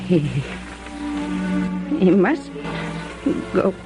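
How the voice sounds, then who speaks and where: An actress playing a dying elderly aunt in a radio drama, halting and gently scolding. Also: female voice, on the low side, animated. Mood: weak, sorrowful. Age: older. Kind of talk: 1950s radio drama acting. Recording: old radio recording with music behind.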